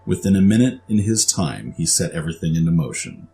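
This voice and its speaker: A man's voice: sits low, sing-song, brisk, creaky, dark tone, soft background music. A man reading scripted story narration for an audio drama.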